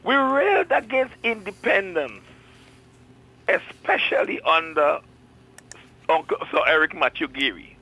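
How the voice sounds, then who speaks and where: Guy calling in, bright and bouncy, cracking jokes, phone line sound. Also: high-pitched, big pitch swings, unhurried, long pauses. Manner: jovial, joking. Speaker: caller.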